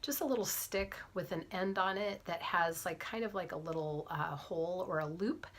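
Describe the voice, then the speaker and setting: Lowish-voiced woman with a cold, chatty. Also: normal speed. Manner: chatty, animated storytelling with frequent soft whispered asides. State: has a cold. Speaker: vlogger, physician assistant and fitness coach. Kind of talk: casual storytelling to camera.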